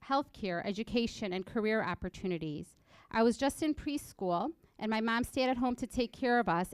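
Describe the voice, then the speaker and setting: A nineteen-year-old woman from Egypt, raised in Illinois, reading a prepared personal story in an earnest, measured way at a college library event. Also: a little high, clean tone, no echo. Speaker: student speaker.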